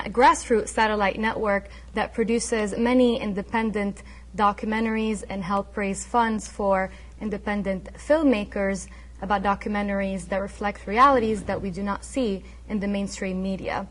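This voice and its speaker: A woman hosting a live community television talk show, introducing her guest in a friendly, welcoming way with some fillers. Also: medium pitch.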